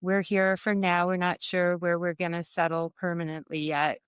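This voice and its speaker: Smooth-voiced woman guest, reflective. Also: on the low side, level tone, moderate pace, no room sound, studio quality. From Germany. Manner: warm, reflective, laughs often. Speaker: guest artist and author. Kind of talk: conversational interview.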